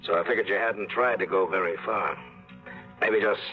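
A man's voice: deep voice, normal speed, soft background music, close to an everyday voice. A man playing a smug, menacing crook. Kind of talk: 1950s radio drama acting. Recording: muffled old radio broadcast.

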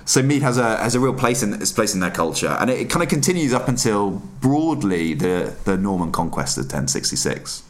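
Deep-voiced man explaining casually. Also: brisk, hardly any pauses. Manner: relaxed and explanatory, with many fillers and restarts. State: under the weather, voice deeper than usual. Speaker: podcast guest, mead maker. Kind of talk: casual podcast chat.

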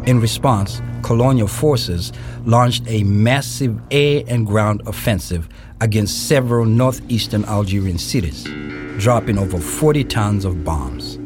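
A man playing a grave narrator of a historical radio play, reading scripted narration in a measured, deliberate, formal way with pauses. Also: fairly low pitch, natural voice. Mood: solemn, factual. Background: music.

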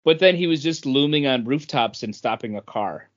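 A man's voice: medium pitch, expressive, moderate pace. A man co-hosting a podcast, casually discussing comic books. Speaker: podcast co-host. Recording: dull, lo-fi podcast sound.

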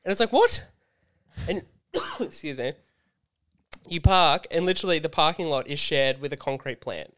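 A man in his thirties with an Australian accent, slightly hoarse, telling stories animatedly in casual chat. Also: a little high, normal speed, long pauses. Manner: animated storyteller, swears casually.

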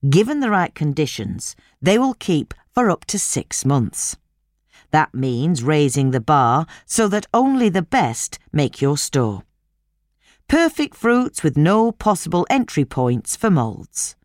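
A woman's voice: low-pitched, very animated, takes it slowly, a little mellow, no echo, clean studio sound. A woman reading a scripted instructional article as narrator.

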